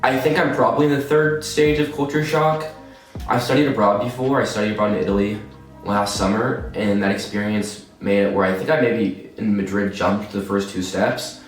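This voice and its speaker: A man's voice: fairly low pitch, fairly even intonation, normal speed, soft background music. A man in his twenties from Texas, an exchange student giving casual interview answers and rambling through restarts and repeated words. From Texas, USA. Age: 20s. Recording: echoey room, mic far off.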